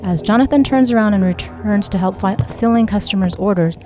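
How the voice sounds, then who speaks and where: A woman's voice: medium pitch, brisk, mellow, faint music behind. A woman reading a story aloud as scripted narration, in the role of narrator. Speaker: audiobook narrator and actor.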